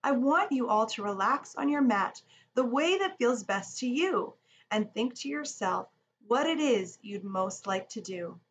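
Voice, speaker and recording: Woman, high voice, children's yoga teacher, expressive storybook reading, encouraging, echoey room, off-mic. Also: a little slow, dull audio. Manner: simple, encouraging instructions addressed to young children. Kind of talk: children's read-along lesson with guided yoga. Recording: echoey room, distant mic, background noise.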